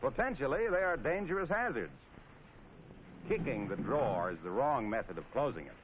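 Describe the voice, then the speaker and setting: An American man narrating in 1940s training film style, wry, folksy and playfully sarcastic. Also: medium pitch. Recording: scratchy, tinny 1940s film soundtrack with noise.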